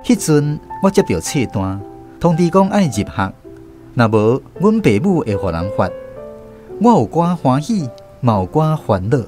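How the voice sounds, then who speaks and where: A man's voice: on the high side, sing-song, a little slow, over music. A man with a Taiwanese accent narrates a radio drama as a first-person storyteller.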